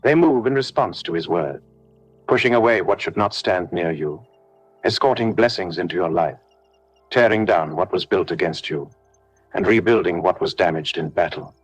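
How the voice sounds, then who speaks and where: A man narrating a scripted devotional prayer, speaking solemnly in measured declarations straight to the listener. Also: low voice, breathy edge, dry recording, lo-fi sound. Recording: background music under the voice. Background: music.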